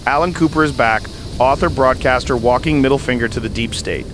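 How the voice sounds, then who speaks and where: A mid-pitched male voice of an actor plays a sardonic underground radio talk host. He is edgy and dramatic, with clear noise in the recording. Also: brisk, natural voice. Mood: sardonic, intrigued. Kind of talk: radio talk show hosting.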